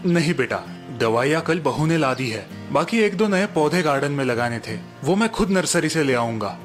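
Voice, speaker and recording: A man voicing a kind, wise retired father-in-law in a storybook, speaking gently and calmly, with soft music behind. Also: on the high side, moderate pace, natural voice. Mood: gentle. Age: older.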